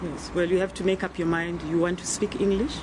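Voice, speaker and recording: A woman's voice: lowish voice, little pitch movement, a little fast, noisy background. Patient but firm woman judge. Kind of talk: presiding over a trial.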